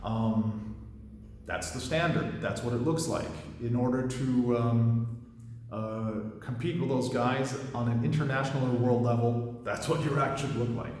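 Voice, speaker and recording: A man's voice: medium pitch, fairly even intonation, measured pace, darkish tone, a little hiss or hum. A man in his thirties giving casual commentary as a magic coach, rambling, with frequent fillers and restarts. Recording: very echoey room with long reverb.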